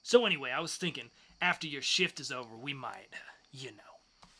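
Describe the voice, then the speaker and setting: A man's voice: medium pitch, animated, a little fast, bright, forceful tone. A man acting friendly, casual male bar characters in a comic book dramatic reading, with colloquial, familiar talk. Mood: shy, hesitant.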